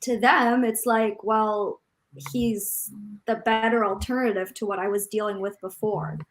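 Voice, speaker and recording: A woman's voice: mid-range voice, steady tone, a little slow, poor audio. A woman co-hosting a casual book discussion, musing aloud with lots of likes, on a video call. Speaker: book club co-host.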